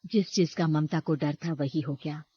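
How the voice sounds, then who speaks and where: A woman's voice: deep voice, steady tone, moderate pace, husky, dull audio. A woman narrating a scripted horror story as the storyteller.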